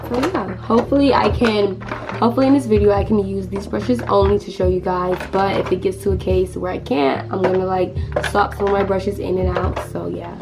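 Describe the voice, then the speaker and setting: Hoarse teenage girl chatting. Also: medium pitch, fairly even intonation, brisk, over music. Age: teens. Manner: chatty, rambling, lots of fillers and restarts. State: hoarse, lost voice. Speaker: beauty vlogger. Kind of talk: casual makeup tutorial chat.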